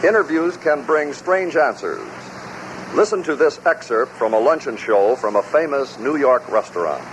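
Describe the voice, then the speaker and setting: A man's voice: mid-range voice, expressive, normal speed, a little hiss or hum, poor audio. A man narrating a vintage radio compilation from a script. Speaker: narrator of a radio bloopers collection.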